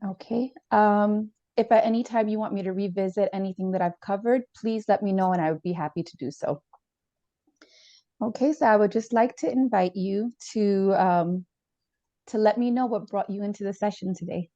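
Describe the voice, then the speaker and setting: A formal, hesitant student therapist, played by a woman, filling her pauses with ums. Her voice is gravelly and mellow. The recording sounds dull and dry. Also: medium pitch, no echo, natural voice. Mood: calm, formal. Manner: hesitant, with many fillers, formal. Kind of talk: role-played counseling session.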